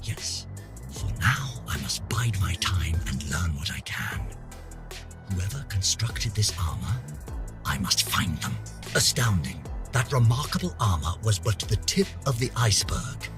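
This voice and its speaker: A man's voice: sits low, big pitch swings, a little slow, music in the background, exaggerated voice. An actor voicing a pompous, vain older British scientist, theatrically narrating his journal for a game with haughty, florid melodrama. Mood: brooding. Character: pompous, vain, aging male scientist, indignant and obsessive.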